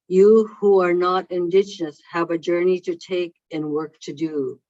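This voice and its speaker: A keynote speaker for whom English is a second language, reading a prepared speech in a slow, deliberate way over a video call. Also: mid-range voice, a little mellow, no echo. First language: not English. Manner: slow, measured and deliberate delivery. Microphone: video call microphone. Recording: dull lo-fi online call audio.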